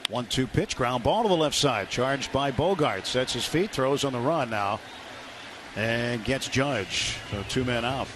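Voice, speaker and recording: A man's voice: mid-range voice, lively intonation, measured pace. An American man doing live baseball play-by-play on radio in clipped descriptive phrases. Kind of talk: live sports commentary. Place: outdoor ballpark broadcast booth. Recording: noisy live ballpark radio broadcast.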